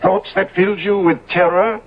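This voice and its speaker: High-voiced decadent Victorian lord, tinny radio. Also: male voice, fairly even intonation, normal speed, creaky. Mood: fervent, persuasive. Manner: theatrical, grandly persuasive, epigrammatic. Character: decadent, cynical Victorian lord, suave tempter. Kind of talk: 1970s radio drama acting. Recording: tinny, phone-like old radio recording.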